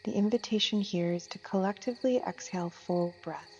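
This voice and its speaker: A woman leading a guided meditation as a yoga teacher, gentle and soothing, with soft phrasing and long unhurried pauses. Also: medium pitch, slight background noise. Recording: muffled, long reverb, music and insect sounds.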